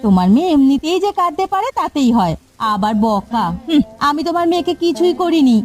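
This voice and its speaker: High-pitched fussy village wife. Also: female voice, very animated, a little fast, darkish tone. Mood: exasperated. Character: exasperated village wife and mother. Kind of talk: Bengali cartoon fairy-tale voice acting.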